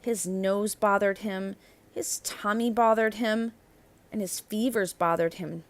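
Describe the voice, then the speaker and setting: Slow-paced woman reading a children's storybook. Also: mid-range voice, steady tone. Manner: deliberate, emphatic phrasing with drawn-out key words. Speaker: narrator reading a children's picture book aloud. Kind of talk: expressive children's read-aloud storytelling.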